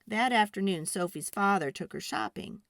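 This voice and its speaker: A woman reading a children's storybook aloud in a dramatic, expressive and deliberate narration. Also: mid-range voice, creaky, no echo, studio quality.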